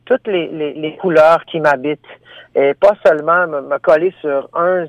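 A man's voice: a little high, normal speed, no echo. A man with a Franco-Ontarian French accent, a singer talking about his album over the phone, hesitant and full of fillers. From Ottawa, Ontario. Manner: hesitant, many fillers and restarts. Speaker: singer guest. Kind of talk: phone interview. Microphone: telephone. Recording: tinny phone line.

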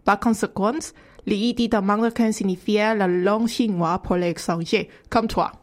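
A woman's voice: medium pitch, steady tone, normal speed. A woman whose first language is Cantonese, speaking French with a Cantonese accent as a radio columnist, explaining carefully with many hesitations. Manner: hesitant, frequent "euh" fillers, careful second-language French. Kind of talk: radio segment chat.

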